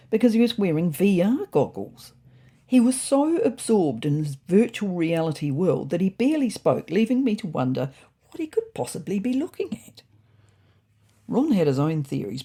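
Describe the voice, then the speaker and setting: Woman doing a prim grumpy tomcat telling his diary, low husky voice, deadpan wit, storybook style. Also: full character voice. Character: fussy, indignant male house cat narrating his diary. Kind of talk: first-person storybook narration.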